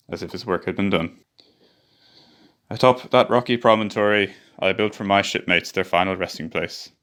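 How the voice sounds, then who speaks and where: Man acting a grave, low-voiced expedition survivor. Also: a bit bright, natural voice. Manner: solemn, literary storytelling. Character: grave male survivor recounting a doomed polar expedition. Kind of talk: scripted radio drama narration.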